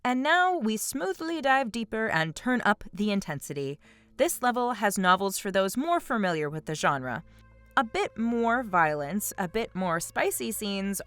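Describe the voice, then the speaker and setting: A woman reading scripted video essay narration in a clearly articulated, explanatory way. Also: mid-range voice, a bit bright. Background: background music.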